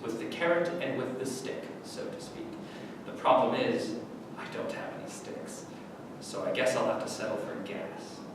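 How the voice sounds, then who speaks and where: An actor in a staged reading playing a cruel, condescending Nazi camp officer who speaks deliberately with dramatic pauses. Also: male voice, animated, lightly acted. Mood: menacing storytelling. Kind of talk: staged play reading, theatrical monologue. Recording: very echoey room, distant mic, noisy rumble.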